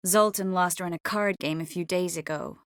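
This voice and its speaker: A woman's voice: fairly low pitch, fairly even intonation, brisk, no room sound, lightly acted. Earnest young sorceress, close dry sound woman acting. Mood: matter-of-fact. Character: earnest, tender, quick-witted young sorceress. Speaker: video game voice actor. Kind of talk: dramatic fantasy game dialogue.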